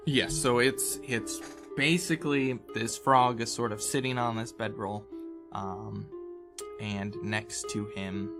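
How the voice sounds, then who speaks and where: A man's voice: lowish voice, steady tone, slow. Male game master over guitar music. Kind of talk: improvised tabletop game narration.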